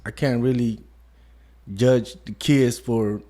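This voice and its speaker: A man in his thirties with a mid-range voice speaks as an interview guest. His delivery is soft-spoken and reflective, and he falls back often on "you know" as he gathers his thoughts. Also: medium pitch, fairly even intonation, takes it slowly. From Modesto, California. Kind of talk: casual conversation.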